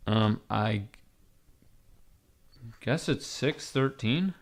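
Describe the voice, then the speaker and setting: A raspy-voiced man livestreaming from his computer, rambling casually to his chat, swearing and talking to himself as he types. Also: medium pitch, expressive, extremely slow. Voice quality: raspy, gravelly. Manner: rambling, swearing, talks to chat while typing.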